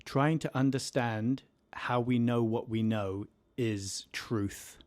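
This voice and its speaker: A man's voice: mid-range voice, takes it slowly, darkish tone. Joking man co-hosting a podcast. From England. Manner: playful, self-mocking jokes. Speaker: podcast co-host, teacher. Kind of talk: casual podcast chat.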